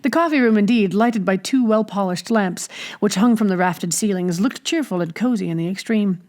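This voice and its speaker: A woman's voice: mid-range voice, normal speed, a little mellow. Woman narrating a classic novel. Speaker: audiobook reader. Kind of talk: expressive scripted storybook narration.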